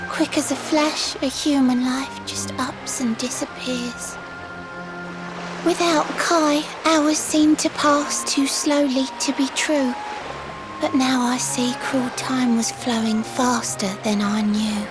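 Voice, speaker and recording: An actress playing a lovelorn, wistful mermaid who speaks in lilting rhyming verse for a video game. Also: female voice, sits high, steady tone, slow pace. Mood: grieving. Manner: lilting, sing-song, dramatic delivery. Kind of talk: theatrical video game voice acting in rhyme. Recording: music under the voice.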